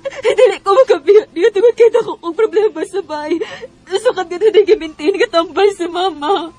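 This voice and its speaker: A woman's voice: extremely high pitch, moderate pace, darkish tone. A woman with a Cebuano accent playing a desperate, pleading young mistress in a Bisaya radio drama. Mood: tearful pleading. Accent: Cebuano Filipino.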